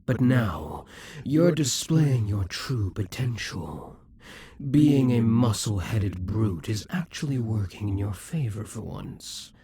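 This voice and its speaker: A man voicing a possessive, scheming demon king in an audio roleplay, speaking slowly and condescendingly. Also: on the low side, animated, dark tone, breathy edge, full character voice. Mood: brooding. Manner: condescending, slow and musing.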